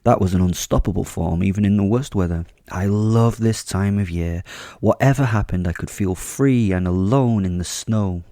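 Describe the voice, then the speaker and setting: A man's voice: deep voice, normal speed, mellow, dry recording, studio quality. A man hosting his podcast and telling a first-person horror story in an offhand, chatty way, swearing casually and joking darkly. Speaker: podcast host and narrator.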